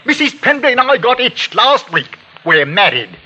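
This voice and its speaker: Bright, wheedling old London uncle. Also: male voice, extremely high pitch, sing-song, moderate pace, full character voice. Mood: smugly pleased. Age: older. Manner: effusive, wheedling. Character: wheedling, crooked old uncle, falsely jovial. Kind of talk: 1940s radio acting. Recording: muffled, very noisy vintage radio recording.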